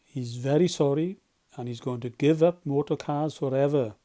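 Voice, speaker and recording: A grave, commanding badger from a children's storybook, put on by a male narrator. He is emphatic and scolding, with a mellow tone. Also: medium pitch, moderate pace, lightly acted. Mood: weary, grave. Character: stern, solemn male badger, commanding elder friend.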